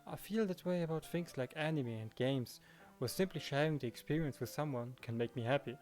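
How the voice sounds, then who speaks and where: A man's voice: a little high, a little fast, a little mellow, faint music behind. A man hosting a let's play, reading a visual novel and chatting casually in a conversational way. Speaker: let's play host, narrator and reader.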